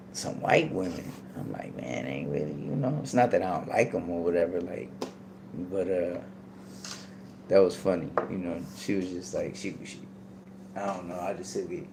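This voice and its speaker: A man's voice: low voice, noisy background. A man talking casually as a guest, laid-back and rambling with lots of fillers. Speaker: podcast guest. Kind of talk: casual storytelling.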